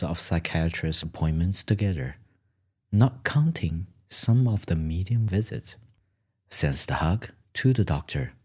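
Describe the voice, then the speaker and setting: A man with a very low voice narrates a relaxing second-person story in an ASMR style. His tone is husky and breathy, sometimes sinking almost into a whisper. He phrases things gently and deliberately, leaving pauses between short phrases.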